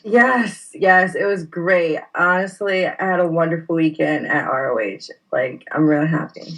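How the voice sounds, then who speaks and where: A woman's voice: deep voice, a little slow, fairly bright tone, low-quality recording. Woman, from New York, wrestler guest, casual chat, giggly, easygoing, video call.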